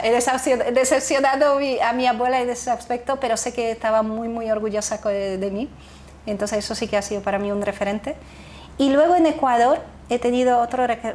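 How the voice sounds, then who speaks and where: Animated woman telling family stories. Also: a little high, slight background noise. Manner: animated, laughs often, restarts words. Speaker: guest, association founder. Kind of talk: casual interview storytelling.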